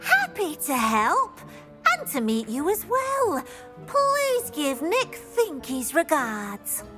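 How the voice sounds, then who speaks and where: Eager, high-pitched, swooping little house-elf. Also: female voice, huge pitch swings, slow, music in the background, exaggerated voice. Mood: earnest, helpful. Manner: speaks of self in third person, fussy and obliging. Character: cheerful, eager-to-please female house-elf kitchen servant. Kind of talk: video game character acting.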